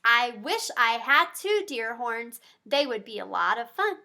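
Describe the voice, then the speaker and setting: A woman reading a picture book to young children, her high voice bright and full of swooping lift. She speaks slowly and chats straight to her small listeners between pages. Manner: animated, talks directly to young listeners. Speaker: pediatric therapist.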